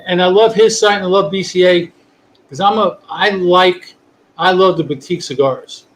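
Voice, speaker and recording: A man's voice: sits high, moderate pace. Chatty New man hosting. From New York. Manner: rambling, relaxed, many restarts. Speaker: podcast host. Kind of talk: casual chat. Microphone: video call.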